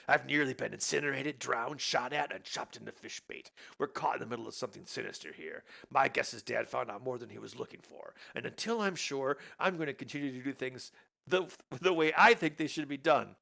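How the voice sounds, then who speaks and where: A man voicing a terse, gruff adventurer in a low, dry delivery. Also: fairly quick, sharp tone, heavily acted.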